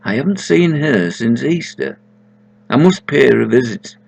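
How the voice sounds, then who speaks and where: A man's voice: medium pitch, expressive, moderate pace, vocal fry, a little mellow, slightly rough, pitched above the performer's own voice. A man voicing a mild male country vicar musing to himself in a story.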